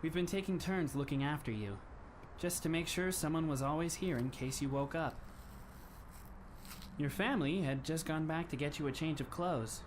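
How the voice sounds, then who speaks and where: A man in an anime fan dub playing a polite, composed teenage schoolboy who speaks in formal, measured phrases with a faintly wry touch. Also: fairly high pitch, noisy background, lightly acted. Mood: gentle, wistful.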